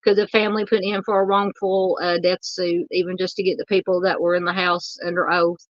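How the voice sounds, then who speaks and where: Southern woman, livestream host, hoarse and tiring, halting and polite, dry and slightly muffled mic. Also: lowish voice, level tone, dry recording. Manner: halting, filler-heavy, polite with viewers. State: losing her voice. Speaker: true crime livestream host. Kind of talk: casual livestream chat reading viewer comments.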